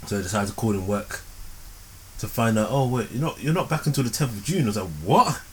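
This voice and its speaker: Guy cohost, chatty and animated, mid voice, bit of hiss. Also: medium pitch. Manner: animated storytelling, quick asides. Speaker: podcast co-host. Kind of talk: casual podcast chat.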